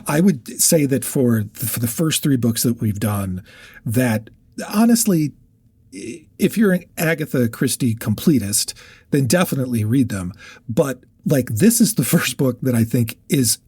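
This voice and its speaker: Husky-voiced man co-hosting a book podcast. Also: medium pitch, expressive, darkish tone, dry recording. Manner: halting, repeats words as he thinks. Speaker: podcast co-host. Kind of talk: casual book discussion.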